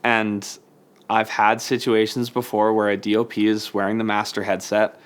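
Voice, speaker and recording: A man's voice: on the low side, completely flat delivery, normal speed, clear, resonant tone. A man who works as a focus puller, talking casually in an interview.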